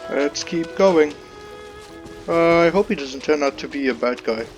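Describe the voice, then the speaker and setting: A man's voice: on the high side, a little slow, soft background music. A man giving casual gameplay commentary as a let's play host, with short, offhand remarks.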